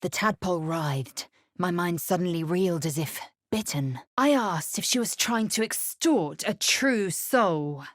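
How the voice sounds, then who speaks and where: A low, raspy female alien warrior for a video game. She is fierce and contemptuous, weighing each word slowly and giving orders with imperious, clipped precision. The sound is close and dry. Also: expressive, no echo. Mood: brooding recollection. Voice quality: husky, raspy, breathy edge. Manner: clipped, imperious, deliberate delivery. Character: fierce, haughty githyanki warrior woman, contemptuous and martial. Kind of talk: video game character acting.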